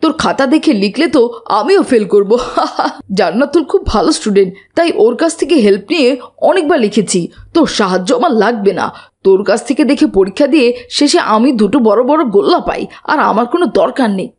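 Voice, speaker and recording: A husky, fairly high cartoon schoolboy voice played by a woman. He sounds sensible yet mocking, chiding. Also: expressive, normal speed, a little mellow. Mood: amused, mocking. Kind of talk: scripted cartoon dubbing.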